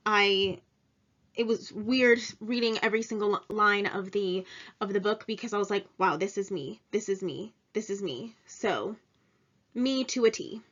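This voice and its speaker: A woman's voice: medium pitch, fairly bright tone. A young woman giving an informal presentation about herself in a matter-of-fact, self-assured way. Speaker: presenter of personal test results, insurance claims adjuster. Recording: dull lo-fi recording.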